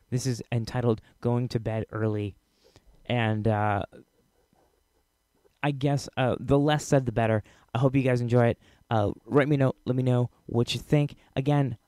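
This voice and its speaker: A man's voice: medium pitch, warm tone, no room sound. A man hosting a podcast, giving a casual solo introduction. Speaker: podcast host.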